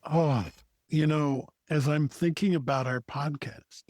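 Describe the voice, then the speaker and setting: A man's voice: a little high, steady tone, slow pace, a little mellow, no echo, clean studio sound. A man co-hosting a podcast, speaking in a thoughtful, earnest, reflective way. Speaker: podcast co-host. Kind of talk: reflective conversation.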